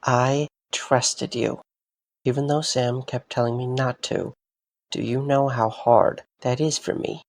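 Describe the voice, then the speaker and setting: A male actor plays a weary young man in an audio drama. His voice sits at a middling pitch with a lot of creak. He sounds frustrated and sarcastic, stumbling a little as he scolds. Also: steady tone, slow pace. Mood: hurt, exasperated. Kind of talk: fan audio drama acting.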